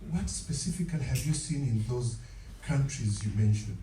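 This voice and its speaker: A man's voice: medium pitch, expressive, normal speed, warm tone. A man with a Ugandan accent, a journalist putting formal, wordy questions at a press conference. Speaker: radio journalist asking questions. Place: large indoor press conference room. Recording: muffled, echoey room, distant, faint noise.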